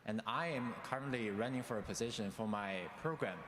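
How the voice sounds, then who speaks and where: A man's voice: mid-range voice, brisk. A young man from China, a master's student, asking a question in hesitant, non-fluent English. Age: young adult. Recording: echoey hall with loud background noise.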